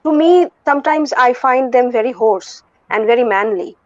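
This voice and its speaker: A soft-voiced woman in her forties with an Indian English accent, speaking thoughtfully as an interview guest with many pauses and restarts. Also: fairly high pitch, no echo. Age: 40s. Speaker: guest vocalist and music teacher. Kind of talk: candid conversational interview. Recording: dull lo-fi dry recording.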